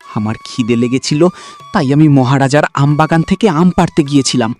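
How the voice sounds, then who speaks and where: A man's voice: medium pitch, expressive, fairly quick, darkish tone, faint music behind, lightly acted. A man playing a meek but clever younger brother in a village cartoon, deferential and plaintive. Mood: alarmed. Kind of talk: Bengali cartoon dubbing.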